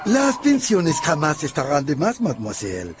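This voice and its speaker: A suave, ingratiating gentleman in a radio drama. His fairly high voice swoops dramatically up and down, with faint noise behind it. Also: wildly swooping pitch, normal speed. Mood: suave, flattering. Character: suave, flattering gentleman raising a toast.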